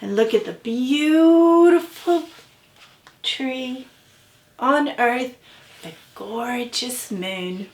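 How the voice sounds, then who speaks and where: A woman's voice: high-pitched, extremely slow, long pauses, roomy sound. A woman author giving an interactive story-time reading for young children, playfully addressing listeners directly. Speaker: children's author reading her book aloud.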